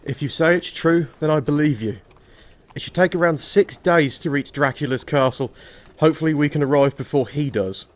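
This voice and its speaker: Man playing an earnest young husband, higher-pitched. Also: normal speed, lightly acted. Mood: tender, worried. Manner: earnest, protective. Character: devoted, anxious young husband and earnest narrator. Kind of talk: audio drama acting and narration. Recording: audible background noise.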